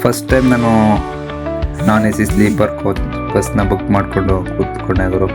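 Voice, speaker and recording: A man's voice: fairly low pitch, steady tone, fairly quick. A man from Karnataka, India, narrating a casual vlog in a chatty, informal way, addressing his viewers. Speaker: vlogger. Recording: loud music over voice. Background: loud music.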